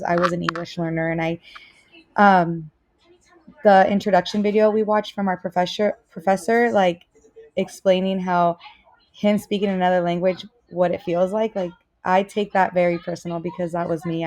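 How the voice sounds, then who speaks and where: A young woman whose first language is Spanish, giving an earnest, casual self-introduction for a course as a student teacher, with frequent pauses and restarts. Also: on the low side, a little mellow, dry recording. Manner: earnest, many fillers and restarts.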